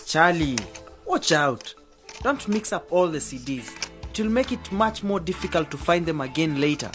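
An actor playing a cocky, playful young male radio DJ in a radio drama, with an animated, slangy, boastful way of talking. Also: a little high, normal speed, soft background music. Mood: friendly, keen.

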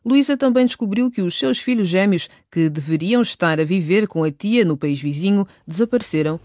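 A woman narrating a radio drama in scripted, even recap style. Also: mid-range voice, a little fast, dry recording, studio quality. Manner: even, clear recap delivery.

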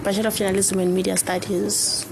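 A woman's voice: mid-range voice, little pitch movement, quick pace, gravelly, noisy background. A woman in her twenties from Johannesburg, a journalism student, offhand and dry in a casual interview. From Johannesburg, South Africa. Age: 20s.

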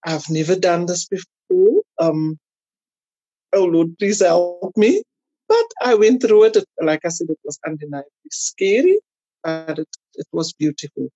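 A South African woman chatting casually as an interview guest, telling personal stories in an animated way. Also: on the low side, unhurried, breathy edge, dry recording, highs cut off. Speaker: podcast guest.

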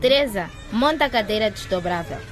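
A woman's voice: medium pitch, animated, fairly quick, music in the background, lightly acted. A woman playing a polite, cheerful, assured teenage girl in a radio drama. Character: cheerful, organised teenage girl, youth group leader.

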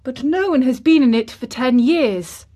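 A woman's voice: a little high, sing-song, measured pace, pitched above the performer's own voice. A woman voicing a lordly young boy who gives orders in a decisive, condescending manner, as in a storybook. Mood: surprised. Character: imperious young boy, lordly, commanding, eager.

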